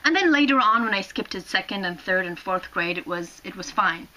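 A young woman from Poland whose first language is Polish, telling childhood stories to the camera in English with a Canadian-tinged accent and an animated, gesturing manner, often restarting her sentences. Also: mid-range voice, a little fast, low-quality recording. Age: young adult. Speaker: vlogger. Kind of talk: casual storytelling to camera. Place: small room indoors, sitting on a bed.

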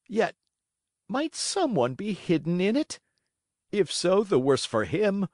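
A man's voice: sits high, very animated, slow, a little mellow, no room sound, clean studio sound, pitched above the performer's own voice, full character voice. A man voicing a frightened old carpenter in a storybook reading, with a trembling, stuttering delivery. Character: old carpenter, jittery and blustering.

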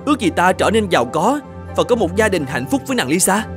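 High-voiced, quick young fairy-tale hero. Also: male voice, big pitch swings. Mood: excited. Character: poor, blunt but kind young man in fairy tale. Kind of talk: cartoon fairy-tale dubbing. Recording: music behind the voice.

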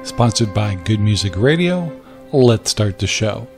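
A man's voice: lowish voice, very animated, unhurried. A man hosting a podcast with a scripted show introduction. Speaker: podcast host. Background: music.

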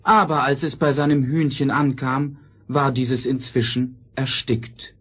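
Medium-pitched male storyteller. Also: steady tone, measured pace, fairly bright tone, breathy edge. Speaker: narrator. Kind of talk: scripted fairy-tale narration for children's audio play.

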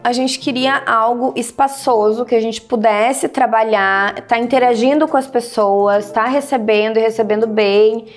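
A woman's voice: on the high side, normal speed, hardly any pauses. A woman architect in her thirties, talking casually about her own kitchen design, often ending with tag questions. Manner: relaxed, frequent "né?" tag questions. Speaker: architect, homeowner guest. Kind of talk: casual interview answers. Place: large modern home kitchen, indoors. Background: faint music.

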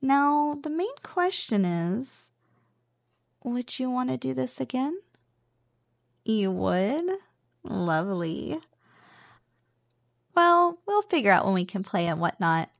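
A woman playing a playful, possessive but affectionate slime girl roommate in an ASMR roleplay, speaking softly and breathily with a teasing manner. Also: fairly high pitch, very animated, creaky, no room sound, clean studio sound, exaggerated voice. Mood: caring, relaxed. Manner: playful, teasing, slow and savoring.

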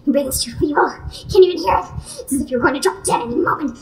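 A woman playing a gruff, snappish, contemptuous man who is turning into a rhinoceros, in a radio play. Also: high-pitched, quick pace. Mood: irritated, snappish. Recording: roomy with faint noise and clicks.

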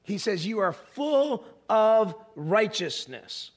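A middle-aged male pastor with a gravelly, hoarse voice, preaching an animated sermon from a church stage. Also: high voice, takes it slowly. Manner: animated, gesturing while pacing the stage, rising to emphatic peaks. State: hoarse, voice strained. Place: large church stage with an audience.

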